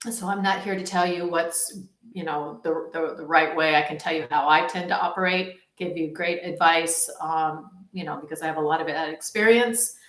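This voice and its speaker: A woman's voice: mid-range voice, fairly bright tone. A woman giving a casual, rambling promotional talk as a supportive phone marketing coach, speaking informally. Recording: roomy, echoing sound.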